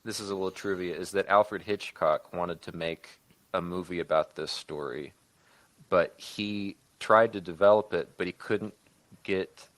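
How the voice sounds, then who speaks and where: Male podcast co-host, low voice, dry close sound, pitches stories informally with frequent rewording. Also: steady tone, no room sound. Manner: halting, often backtracking and rewording mid-sentence. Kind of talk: casual creative discussion, improvised story pitch.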